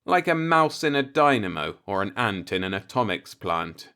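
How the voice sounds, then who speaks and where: A man's voice: fairly low pitch, big pitch swings, normal speed, vocal fry, bright, forceful tone, clean tone, full character voice. A man reading the part of a brooding, disillusioned professor in an audiobook, musing in a pointed, rhetorical monologue. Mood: contemptuous, wary. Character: brooding, disillusioned male professor philosophizing bitterly.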